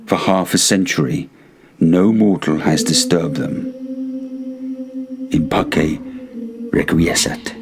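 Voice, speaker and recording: A man reading a gothic story as narrator, theatrical and deliberate, with pauses between phrases. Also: rumbling low, a crowd in the background.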